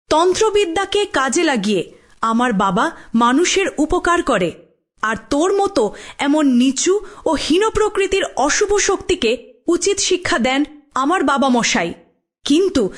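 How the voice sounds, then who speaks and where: A woman voicing a brave, righteous daughter in a horror cartoon, earnest and declamatory. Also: very high-pitched. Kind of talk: dramatic horror cartoon voice acting.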